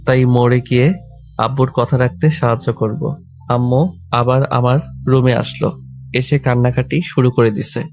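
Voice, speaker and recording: A man's voice: medium pitch, steady tone, moderate pace, darkish tone. A man reading a scripted first-person story as its narrator and lead character. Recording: background music under the voice. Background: music.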